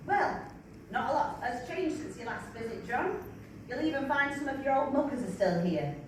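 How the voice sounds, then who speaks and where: A woman's voice: high-pitched, sing-song, normal speed. A woman reading a dry, weary, no-nonsense psychiatric nurse in a stage play, wry and matter-of-fact, in a very echoey, distant, noisy recording. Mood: patient, brisk. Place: large echoey room, mic at a distance.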